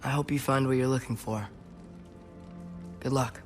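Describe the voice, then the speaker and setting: A young actor playing an earnest, gentle youth in a game, speaking softly and haltingly. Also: male voice, medium pitch, steady tone, faint music behind, natural voice. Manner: soft, halting.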